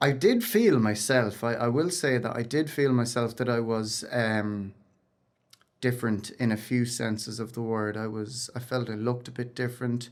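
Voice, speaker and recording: A man's voice: mid-range voice, normal speed. A man in his thirties from Ireland, a singer chatting as an interview guest and telling stories about his childhood, often restarting his sentences. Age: 30s. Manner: chatty and storytelling, with frequent restarts and laughs. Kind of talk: casual interview chat.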